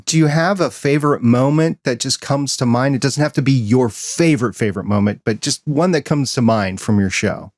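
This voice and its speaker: Relaxed male podcast host, dry sound. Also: mid-range voice, fairly quick, few pauses, no echo. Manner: relaxed, conversational questioning. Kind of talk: casual interview.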